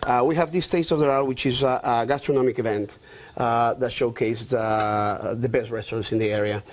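A man's voice: medium pitch, steady tone, moderate pace. A man from Peru, a guest chef on TV, explaining a dish in an agreeable, slightly hesitant way. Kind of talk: explaining a recipe in a TV interview. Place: TV studio cooking segment.

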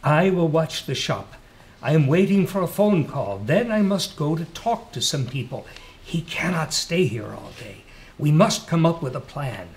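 A man voicing a brisk, businesslike older French woman who runs a shop, in a storybook reading. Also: fairly high pitch, very animated, slight background noise, pitched above the performer's own voice.

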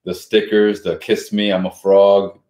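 Chatty host in an echoey room. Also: male voice, lowish voice, level tone, dark tone. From New York City. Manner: enthusiastic, chatty, drawn-out asides. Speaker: cooking show host. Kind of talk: live cooking stream chat. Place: home dining room set up as a studio. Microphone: far camera mic. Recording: echoey room with kitchen clatter.

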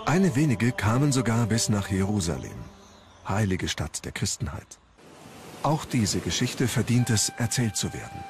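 A man reading scripted documentary narration in a measured storytelling manner. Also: fairly low pitch, very animated, over music.

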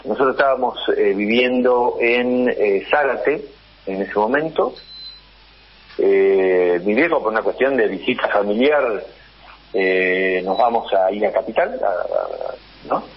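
Husky Argentine man on a lo-fi line. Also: lowish voice, sing-song, measured pace. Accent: Argentine Spanish. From Argentina, Paraná, Entre Ríos. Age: 40s. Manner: hesitant, many fillers and repeated yeses. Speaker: guest. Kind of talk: phone interview, personal story. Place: at home. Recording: dull lo-fi remote line.

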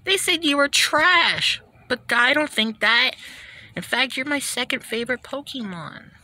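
A woman voicing an eager, excitable young child hero in an amateur cartoon-style story. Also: high-pitched, a little slow, pitched above the performer's own voice, full character voice. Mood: urging.

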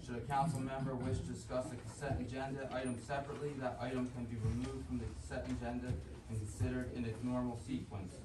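A man's voice: mid-range voice, quick pace, few pauses. A man chairing a township council meeting, reading agenda items in a procedural way. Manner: flat, procedural. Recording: echoey meeting room, far mic, some hiss.

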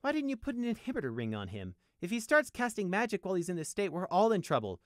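A man's voice: fairly high pitch, very animated, brisk, no room sound, clean studio sound, voice pushed up. A man voicing a sly, spiteful male captive creature who talks with false politeness in a coaxing, pleasant manner for a story. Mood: controlled, polite.

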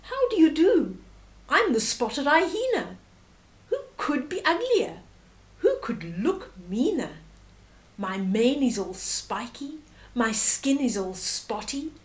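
A woman's voice: sits high, very animated, takes it slowly, exaggerated voice. A woman voicing a boastfully ugly spotted hyena in a storybook, proud and theatrical. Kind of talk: storybook character reading.

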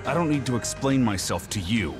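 An actor playing a menacing, imperious villain with psychic powers in a video game dub. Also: male voice, medium pitch, expressive, fairly quick, close to an everyday voice. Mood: threatening. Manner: threatening, imperious. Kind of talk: English game dub acting. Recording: dramatic game music behind.

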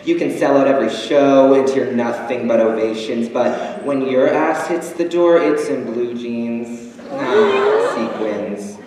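A young man performing a theatrical monologue as a proud, wounded, defiant drag queen, with deliberate, cutting delivery and dramatic pauses. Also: medium pitch, a crowd in the background, close to an everyday voice. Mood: scornful, proud. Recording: distant, noisy, very echoey auditorium recording.

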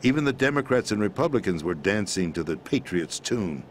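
A grave, low-voiced president. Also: male voice, very animated, slight background noise. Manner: measured, grave, explanatory. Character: calm, grave middle-aged man, president briefing via codec. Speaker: president explaining a conspiracy. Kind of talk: video game cutscene voice acting.

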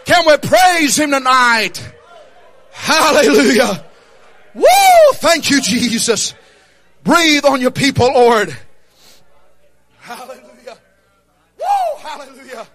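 A young man preaching a fervent revival sermon, shouting and half-singing his lines with calls of amen and hallelujah. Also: very high-pitched, sing-song, slow, long pauses. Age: young adult.

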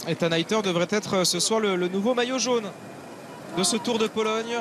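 A man giving live cycling race commentary in halting phrases, often repeating words. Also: sits high. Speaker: sports commentator. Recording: clear helicopter and vehicle noise.

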